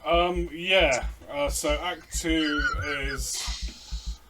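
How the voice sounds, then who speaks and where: A man, a player and co-host, chatting casually in a loose, halting way. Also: a little high, steady tone. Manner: loose, halting, laughs at his slips. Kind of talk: casual review chat. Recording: distant mic with noticeable hiss.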